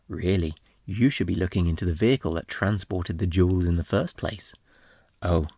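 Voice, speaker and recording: Smug, flirty low-voiced thief played by a man. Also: dry recording, studio quality. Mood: smug, insinuating. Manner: teasing, insinuating, mock-innocent, theatrical. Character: smug, flirty master thief taunting a detective. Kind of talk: ASMR roleplay acting.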